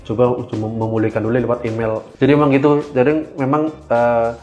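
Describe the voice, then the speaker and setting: A young man in his twenties speaks as an interview guest with a mid-pitched voice. His delivery is casual but halting, often stopping on filled pauses as he explains. Faint music sits behind him. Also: level tone, dark tone, lo-fi sound. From Indonesia. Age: 20s. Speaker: guest cyber security engineer. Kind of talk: casual podcast interview answers.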